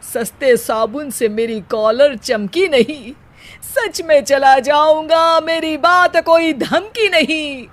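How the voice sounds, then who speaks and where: A woman's voice: high-pitched, takes it slowly. A woman voicing a cheeky, jobless young husband in a cartoon comedy, theatrically declaiming rhyming couplets. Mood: playful boasting.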